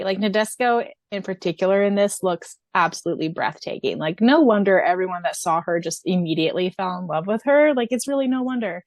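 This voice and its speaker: A woman's voice: mid-range voice, dry recording. A woman co-hosting a fan podcast in casual chat, gushing and giggly in her enthusiasm. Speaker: podcast co-host.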